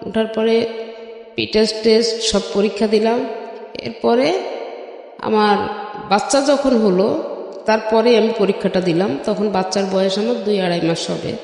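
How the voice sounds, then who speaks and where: A woman's voice: mid-range voice, moderate pace, pauses often, darkish tone. A middle-aged Bangladeshi woman telling her life story in an interview, step by step, with frequent filler phrases. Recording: very echoey, long reverb.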